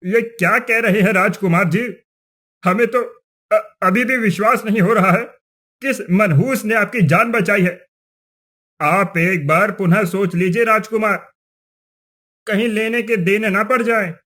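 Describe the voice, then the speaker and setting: A man's voice: high-pitched, a little slow, pushed and bright, heavily acted. A man playing an accusing, superstitious village chief in a cartoon story, speaking insistently. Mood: disbelieving. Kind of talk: Hindi cartoon story acting.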